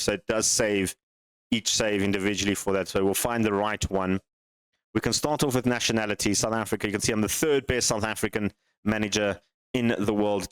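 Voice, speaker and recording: A man with a fairly low, smooth voice talks through his game save in a relaxed way. He thinks aloud, wanders off topic and asks himself questions. The sound is dry and close. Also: no room sound, poor audio. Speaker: gaming channel host. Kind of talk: casual game commentary.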